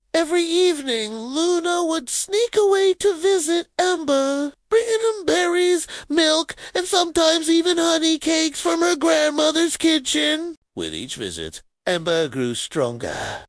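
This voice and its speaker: Slow, highly expressive woman storyteller. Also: dry recording, clean studio sound. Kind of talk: scripted story narration for children.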